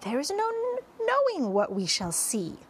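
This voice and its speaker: A boisterous centipede from a children's storybook, performed by a woman, pitched high and swooping freely. He is exuberant and boastful, rattling out singsong verse with gleeful zest, over faint background noise. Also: very animated, a little slow, heavily acted. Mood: gleeful, reckless.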